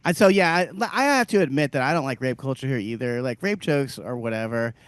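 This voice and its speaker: A man's voice: medium pitch, animated, a little fast, no room sound. Profane, rambling male podcast host. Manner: profane, rambling, laughs often. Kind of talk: crude casual chat.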